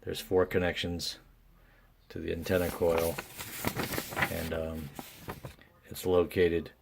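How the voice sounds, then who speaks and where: A man talking casually and without a script through a repair as host, haltingly thinking aloud. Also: low-pitched, flat delivery, gravelly, noisy background. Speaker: host of a radio repair video. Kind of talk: casual unscripted repair commentary.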